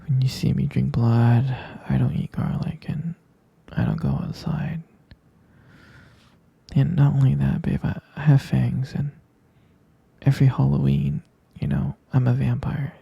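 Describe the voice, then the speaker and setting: A man playing a gentle, sleepy half-human vampire boyfriend in an ASMR roleplay, murmuring hesitant, tender reassurance close to the mic in a soft, breathy whisper. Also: mid-range voice, little pitch movement, a little slow, no room sound, muffled recording, exaggerated voice. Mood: sleepy, explaining.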